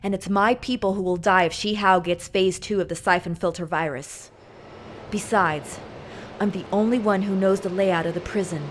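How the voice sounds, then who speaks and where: A woman's voice: mid-range voice, fairly even intonation, moderate pace, slight background noise, close to an everyday voice. An actress playing a tough, determined female agent in a game cutscene.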